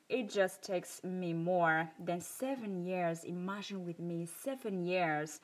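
Woman podcast host, Moroccan accent, mid-pitched and very animated; earnest, emphatic personal monologue. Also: slightly rough. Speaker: self-improvement podcast host.

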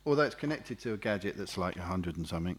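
A man's voice: deep voice, animated, fast, over a noisy crowd. A man co-hosting a casual podcast, joking and laid-back, often trailing off into murmurs, recorded on a cheap microphone. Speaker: podcast co-host. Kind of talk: casual chat. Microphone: cheap USB microphone.

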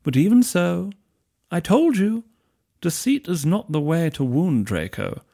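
A man's voice: on the high side, big pitch swings, unhurried, mellow, voice pushed up, full character voice. A man voicing a languid, sly young wizard who drawls his words. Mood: drawling. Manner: drawling, smooth and teasing.

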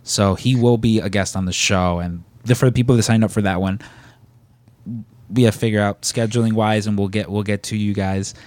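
A man's voice: lowish voice, fairly even intonation, a little fast, a little mellow, no echo. A man from the Chicago area with a Chicago accent, co-hosting a podcast in casual, rambling, joking chat. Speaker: podcast co-host.

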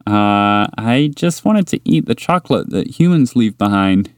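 A man voicing a big, gentle, slow-witted moose in a bedtime story, hesitant and bashful. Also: fairly low pitch, expressive, clean tone, no room sound, studio quality. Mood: unsure. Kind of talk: storybook character voice.

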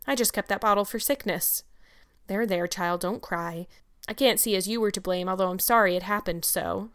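A woman voicing a stern, practical older guardian with a brisk, curt manner and gruff kindness. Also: mid-range voice, fairly bright tone, close to an everyday voice. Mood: gruff comforting.

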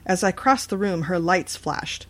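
A woman's voice: fairly low pitch, animated, measured pace. A woman reading a scripted first-person horror story as its narrator.

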